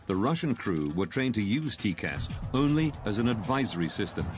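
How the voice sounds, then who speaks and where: Low-voiced male documentary narrator, music behind. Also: big pitch swings, normal speed. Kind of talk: scripted narration.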